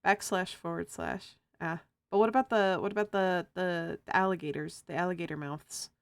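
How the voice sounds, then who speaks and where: A feminine-voiced streamer chatting casually while drawing, giggling often and cracking silly jokes. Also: female voice, on the low side, steady tone, moderate pace, no echo. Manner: giggly, jokey, laughs often.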